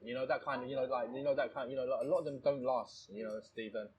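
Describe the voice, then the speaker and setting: A man's voice: mid-range voice, fairly even intonation, fast talker, darkish tone, husky, poor audio. A man telling stories casually in conversation, rambling and repeating phrases, with frequent "you know". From born in Thailand, lives in London. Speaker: restaurant owner, interview guest.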